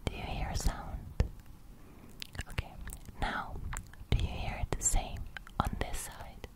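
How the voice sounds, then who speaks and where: Young female ASMR artist, breathy close-mic whisper, very slow and gentle sleep roleplay. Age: young adult. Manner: slow, gentle, repeating soft phrases. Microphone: close studio microphone.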